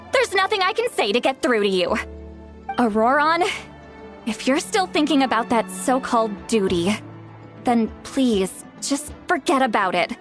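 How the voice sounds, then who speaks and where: A nagging, scolding grandmother. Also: on the high side. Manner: nagging, exasperated scolding with sighs. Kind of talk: video game cutscene dialogue. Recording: game music behind voice.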